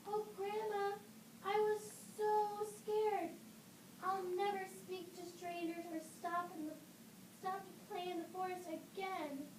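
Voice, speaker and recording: A woman's voice: very high voice, little pitch movement, slow pace. A woman voicing a sweet, innocent little girl in a fairy-tale puppet show, with a childlike, singsong delivery. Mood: relieved. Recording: distant roomy recording with clear noise.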